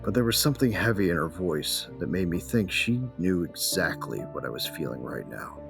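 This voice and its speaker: A man's voice: low-pitched, lively intonation, normal speed, gravelly, over music. A man playing a grieving, embittered bounty hunter who narrates his own story in an audio drama, brooding and terse. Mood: heavy, grieving.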